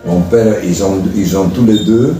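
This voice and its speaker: An older man from Argentina, a priest being interviewed, who speaks calmly and earnestly and often hesitates and repeats words. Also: low voice, measured pace. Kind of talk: relaxed interview conversation. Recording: echoey, from a distance, with music behind.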